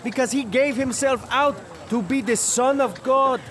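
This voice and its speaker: An actor playing a polite young passer-by in an audio drama, earnest and deferential. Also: male voice, very high-pitched, unhurried, background noise. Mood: earnest, hurried.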